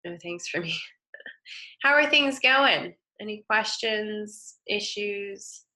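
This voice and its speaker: Woman, design instructor, head cold, nasal, bright voice, casual online lecture. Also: medium pitch, animated, slow pace, low-quality recording. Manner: casual, chatty, with frequent fillers and self-corrections. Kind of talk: live online lecture with screen demo.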